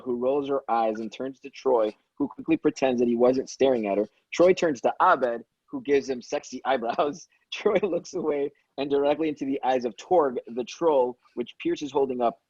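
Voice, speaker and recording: Husky man narrating, dry dull recording. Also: medium pitch, normal speed, hardly any pauses, a little mellow, no echo. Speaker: stage-direction narrator. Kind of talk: scripted narration for a table read. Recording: dull, slightly lo-fi sound.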